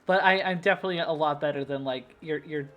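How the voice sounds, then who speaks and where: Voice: mid-range voice, fast talker, dull audio. A young adult streamer from the United States chatting casually at a desk mic, rambling with lots of fillers and laughing easily. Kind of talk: casual livestream chat.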